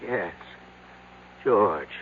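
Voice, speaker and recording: A man's voice: lowish voice, animated, extremely slow. An actor playing a frightened man suddenly aged to seventy-five in an old radio drama, faltering, pleading and agitated. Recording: noisy, narrow-band old radio recording.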